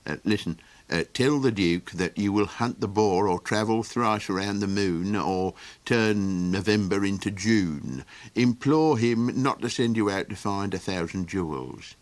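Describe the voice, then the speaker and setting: A man's voice: on the low side, dry recording, lightly acted. A man voicing a fretful, kindly creature who rambles with ums and chuckles in a storybook reading. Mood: musing. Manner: halting, full of ums and chuckles.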